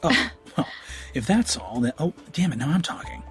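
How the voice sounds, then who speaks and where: A man's voice: medium pitch, lively intonation, normal speed, faint music behind, close to an everyday voice. An actor playing an awkward, eager young student tutor in an audio drama, stammering and joking as he rambles. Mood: playful. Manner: hesitant, stammering, jokey rambling. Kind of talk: naturalistic audio drama acting.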